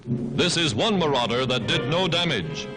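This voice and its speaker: An American man reads scripted 1940s newsreel narration in a dramatic, declamatory way. His voice sits fairly high and swings widely in pitch, with loud music playing behind him throughout. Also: lively intonation, normal speed. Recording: vintage 1940s newsreel soundtrack.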